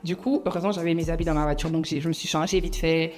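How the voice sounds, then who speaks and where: A woman's voice: low-pitched, quick pace. Chatty young woman telling a story. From Belgium. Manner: chatty, animated, laughs often, mixes in English phrases. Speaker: vlogger. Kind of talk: casual storytime monologue.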